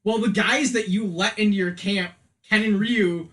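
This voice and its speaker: A man's voice: high voice, steady tone, moderate pace, a bit bright. A man in his thirties co-hosting a podcast, chatting casually about films in a rambling, jokey, opinionated way. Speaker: podcast co-host. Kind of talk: casual opinionated film chat.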